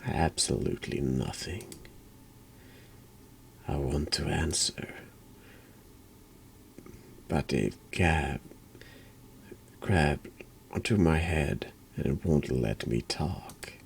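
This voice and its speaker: A man streaming a horror game in a hushed, dry ASMR style, reacting and reading text aloud in an understated way. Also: very deep voice, expressive, extremely slow, gravelly, pauses often, slight background noise.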